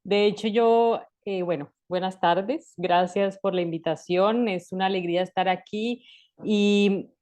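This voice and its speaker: A woman in her thirties from Colombia, a guest in an interview, talking in a reflective way with restarts and soft laughs. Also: mid-range voice, steady tone, a little slow. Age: 30s. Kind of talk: conversational interview.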